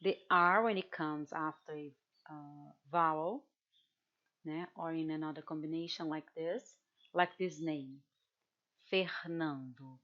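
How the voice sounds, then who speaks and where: A Brazilian woman who speaks English with a Brazilian Portuguese accent, teaching in an unhurried, measured way. Her voice sits a little low for a woman. She patiently repeats example words on dull, lo-fi audio. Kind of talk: online language workshop.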